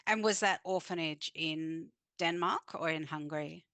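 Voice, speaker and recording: A woman's voice: sits low, measured pace, no room sound. A woman hosting a podcast interview over a remote call, with a hesitant, filler-filled way of asking questions. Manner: warm, hesitant, lots of fillers. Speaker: podcast host. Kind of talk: casual interview. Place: in Sydney.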